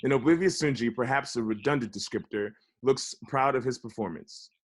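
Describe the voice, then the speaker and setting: A man's voice: medium pitch, fairly quick. A man narrating the stage directions at a table read of a comedy script.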